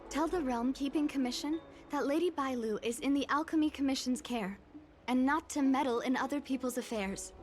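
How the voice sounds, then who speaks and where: Woman playing a high-voiced, guarded young female attendant. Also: fairly quick, soft background music, natural voice. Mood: wary. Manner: chiding, distrustful, formal. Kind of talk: anime game voice acting.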